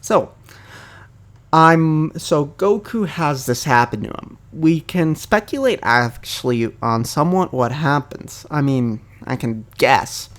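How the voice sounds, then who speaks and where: A young man from the United States talking casually through a fan theory, rambling with many fillers and restarts. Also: on the high side. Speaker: YouTuber.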